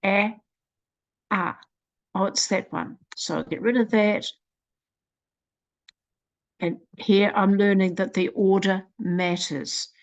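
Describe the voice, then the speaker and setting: A woman with a New Zealand accent presenting a literacy program in a slow, deliberate walkthrough, sounding out letters one at a time. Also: mid-range voice, steady tone, lo-fi sound. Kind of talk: screen-recorded software walkthrough.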